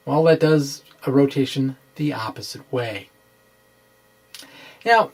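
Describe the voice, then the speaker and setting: A middle-aged man presenting an informal science explainer, with halting, emphatic delivery and wry asides. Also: on the high side. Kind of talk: informal dramatic reading of a physics explainer. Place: small indoor room with bookshelves. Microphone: microphone on stand close in front.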